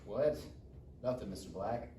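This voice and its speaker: An actor playing an earnest, defensive teenage student in a live comedy table read. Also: male voice, fairly low pitch, expressive, a little slow, dark tone. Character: nervous, earnest high school boy. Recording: echoey room, distant mic, faint noise.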